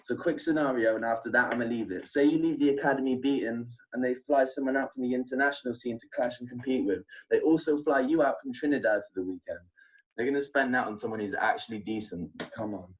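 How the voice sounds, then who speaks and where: A man with a southern English accent spits aggressive, insult-packed battle rap verses at a middling pitch. He sounds set back from the microphone, a little distant. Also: fast talker, dull audio. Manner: aggressive, insult-heavy rhymed delivery. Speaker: battle rapper.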